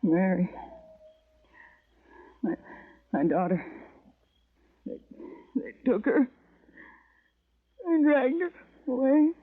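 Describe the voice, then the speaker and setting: A weak, distraught homesteader woman, gasping and repeating herself very slowly. Her voice is husky with anguish. It is a thin, phone-like recording of an old radio western. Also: sits high, sing-song, exaggerated voice. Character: distraught, dying homesteader mother of the frontier.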